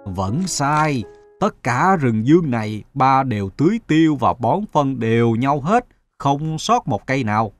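A man voicing a calm, wise older father who advises in a measured, gently instructive way in a cartoon. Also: medium pitch, dry recording, faint music behind. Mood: patient. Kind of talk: Vietnamese moral-story cartoon dubbing.

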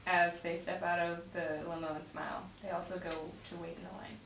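A distant, roomy recording with clear noise carries a young woman's voice. She sits fairly low in pitch and reads script directions aloud as the narrator of a table read. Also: little pitch movement, fairly quick, a bit bright. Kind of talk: reading stage directions aloud. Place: home dining room around a table. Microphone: far camera mic. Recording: distant mic, roomy echo, noisy.

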